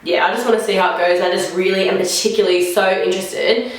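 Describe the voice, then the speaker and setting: A woman's voice: mid-range voice, fast talker. A young woman vlogger chatting casually through a makeup try-on, sounding a bit nasal from a flu and filling her talk with "like". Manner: chatty, rambling, lots of "like" fillers. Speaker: beauty vlogger. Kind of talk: casual makeup first-impressions chat. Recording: very echoey room.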